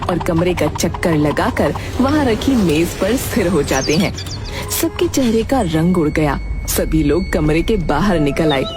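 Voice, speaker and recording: Female storyteller over background music. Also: on the low side. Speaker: narrator. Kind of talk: scripted storybook narration.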